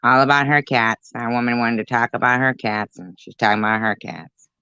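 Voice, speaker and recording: Commentary host, a woman with a very deep, gravelly voice making wry, dismissive remarks, recorded dull and lo-fi. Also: steady tone, brisk, bright, forceful tone, no echo. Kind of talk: sarcastic commentary.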